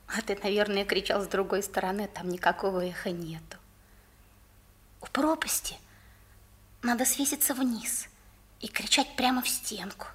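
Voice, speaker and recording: A woman's voice: a little high, slightly rough. A woman playing an earnest, eager young girl in a 1960s radio drama. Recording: vintage 1960s radio play recording.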